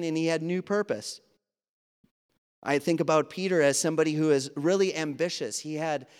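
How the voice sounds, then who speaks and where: A man's voice: fairly high pitch, smooth. A man from Wisconsin with a Wisconsin accent, preaching a sermon in a conversational way with asides and fillers. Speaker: pastor. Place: church. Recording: reverberant church hall.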